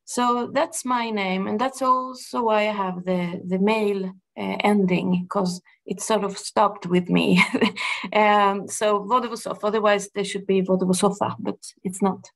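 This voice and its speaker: A middle-aged woman from Argentina with a Spanish accent, a gardener speaking thoughtfully to an audience, pausing and restarting often. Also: mid-range voice, fairly even intonation, gravelly. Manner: thoughtful, halting with frequent restarts and fillers. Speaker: gardener and project manager giving a talk. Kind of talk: personal talk to an audience.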